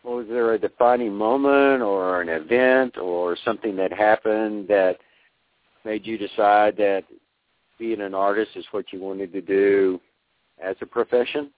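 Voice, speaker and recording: A man's voice: on the low side, unhurried, no room sound. A man hosting an interview show, reading a polished intro and asking questions. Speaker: radio show host. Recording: tinny phone-like audio with faint music.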